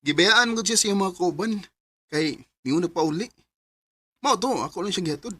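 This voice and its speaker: A man's voice: high-pitched, lively intonation, moderate pace, sharp tone, breathy edge, heavily acted. An actor playing an easygoing, affectionate and oblivious young man in a radio drama. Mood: breezy, affectionate.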